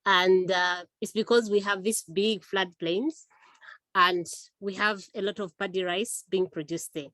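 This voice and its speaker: A woman from Zambia, a researcher talking hesitantly on a video call with many pauses. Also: medium pitch, fairly even intonation, a bit bright, dry recording. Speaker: award-winning researcher and webinar panelist. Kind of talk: casual answer on a video call. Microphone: video call microphone.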